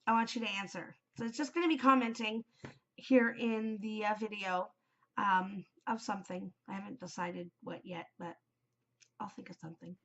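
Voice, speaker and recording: A woman's voice: medium pitch, fairly even intonation, moderate pace, fairly bright tone. Woman host, congested and worn voice, chatty and rambling, dull home recording. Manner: rambling, many ums, chatty. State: congested, voice shot from a cold. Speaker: knitting podcast host. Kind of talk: casual solo chat.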